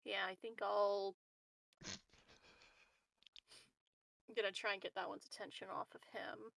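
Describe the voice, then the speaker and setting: Creaky-voiced woman playing a tabletop game. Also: medium pitch, level tone, unhurried, a bit bright, dry recording, poor audio. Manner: playful, joking. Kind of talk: casual game chat.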